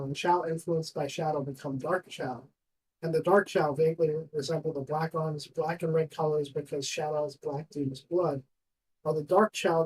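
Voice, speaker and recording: A woman's voice: low voice, darkish tone, slightly rough. Female commentator, casual analytical chat, picked up at a distance from the mic. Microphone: some distance from the mic. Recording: distant from the microphone.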